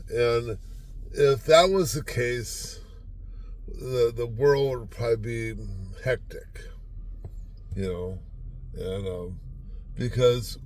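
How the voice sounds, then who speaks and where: A man's voice: medium pitch, a little mellow. An older man giving a casual, rambling motivational talk to camera from inside a parked car, folksy and self-deprecating, often repeating himself. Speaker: vlogger.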